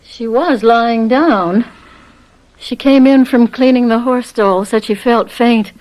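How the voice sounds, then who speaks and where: An American actress playing a downtrodden, uneasy young wife on a farm in a radio drama. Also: female voice, on the high side, slow, a little mellow, rough recording. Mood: resigned.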